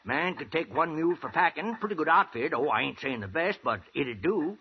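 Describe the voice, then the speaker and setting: An actor playing an eager, toothless old American gold prospector in a 1950s radio western, with a gravelly, cackling voice and a folksy, rambling drawl. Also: male voice, on the high side, big pitch swings, a little fast. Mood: coaxing. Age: older. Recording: muffled, hissy old radio recording.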